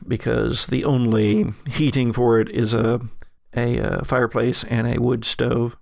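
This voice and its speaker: A man narrating a horror tabletop game as game master, telling it in a halting, descriptive way. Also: mid-range voice, vocal fry, dry recording, studio quality.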